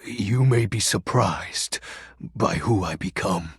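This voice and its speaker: An actor playing a grandiose, brooding shadow lord in a video game, speaking in a pompous, archaic, melodramatic way with a gravelly, husky voice. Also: male voice, fairly low pitch, takes it slowly, dry recording, clean studio sound, heavily acted. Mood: solemn. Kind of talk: theatrical video game character acting.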